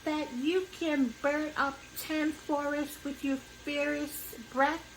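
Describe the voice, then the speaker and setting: A woman's voice: high voice, flat delivery, slow pace, slight background noise, voice pushed up, low-quality recording. A woman voicing a bold, eager young storybook princess in a gushing, exclamatory way. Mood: eager, challenging.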